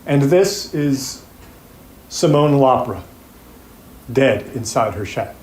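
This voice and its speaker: A man acting as a grave plaintiffs' lawyer, giving a measured, formal opening statement to a jury in an audio drama. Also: mid-range voice, lively intonation, a little hiss or hum. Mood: grave, frustrated. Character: sombre male plaintiffs' trial lawyer addressing a jury. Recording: echoey room.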